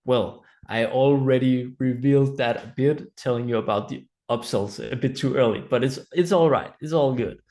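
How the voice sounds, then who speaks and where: A man's voice: mid-range voice, normal speed, hardly any pauses, darkish tone. A man with a Danish accent presents a live online training in an informal, explanatory way, often checking in with listeners. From Denmark.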